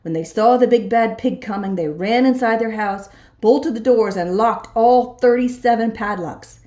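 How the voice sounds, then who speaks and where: A woman reading a children's storybook aloud in an expressive storytelling style. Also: fairly high pitch, moderate pace. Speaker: storybook reader. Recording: echoey room.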